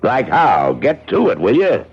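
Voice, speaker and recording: A man's voice: medium pitch, wildly swooping pitch, measured pace. An actor playing a skeptical small-town lawman with a folksy, sarcastic, dismissive manner, in an old muffled radio drama. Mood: brisk, knowing. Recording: muffled old radio broadcast, faint noise.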